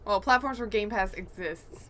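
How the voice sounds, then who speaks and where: A woman's voice: medium pitch, moderate pace, a bit bright, slight background noise. A woman co-hosting a podcast, casually talking through gaming news. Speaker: podcast co-host.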